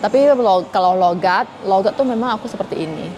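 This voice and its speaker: A woman's voice: mid-range voice, expressive, fairly quick, highs cut off, a crowd in the background. A young woman from Kalimantan with a Hakka-influenced Indonesian accent, chatting casually with viewers on a live stream. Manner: chatty, addresses viewers as friends.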